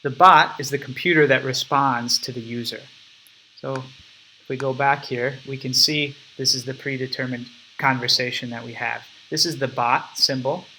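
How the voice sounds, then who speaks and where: Young man, bright crisp voice, casual product walkthrough narration for a tutorial. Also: medium pitch, a little hiss or hum, rough recording. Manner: casual, step-by-step explaining. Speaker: app developer demonstrating his product. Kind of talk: screen-recorded software walkthrough.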